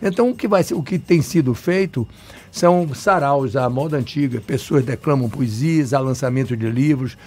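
A man's voice: medium pitch, normal speed, a little mellow. Talkative Bahian man explaining at length. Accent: Bahian Brazilian Portuguese. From Salvador, Bahia, Brazil. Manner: talkative, emphatic, digressive with restarts. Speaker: urban planner interviewee. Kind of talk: radio interview answers.

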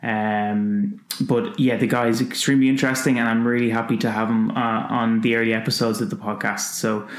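A man's voice: medium pitch, level tone, a little fast, hardly any pauses. Man hosting a podcast in an echoey room. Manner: hesitant, many fillers. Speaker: podcast host. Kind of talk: casual interview talk. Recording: roomy echo.

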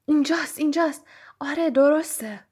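An earnest young boy wizard, as a woman reader acts him out, speaking up with feeling. The voice is high and pushed forward. It is part of a storybook reading with one reader doing every part. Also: expressive, a little mellow. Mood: thrilled. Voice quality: raised, high and emphatic.

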